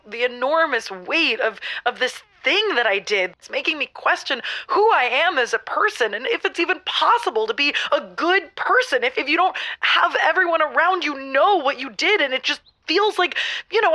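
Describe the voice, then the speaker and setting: A woman playing an anxious, guilt-ridden caller with a halting, overwrought delivery in a comic audio drama. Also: high-pitched, bright, forceful tone. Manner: halting, overwrought, stammering repetitions. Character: anxious guilt-ridden female caller, melodramatic book-club fibber.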